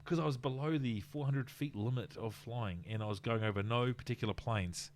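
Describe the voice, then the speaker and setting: A man's voice: mid-range voice, a little hiss or hum. A man with a New Zealand accent co-hosting a tech podcast, chatty and jokey. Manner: chatty, jokey, quick asides. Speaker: podcast co-host. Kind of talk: casual tech chat.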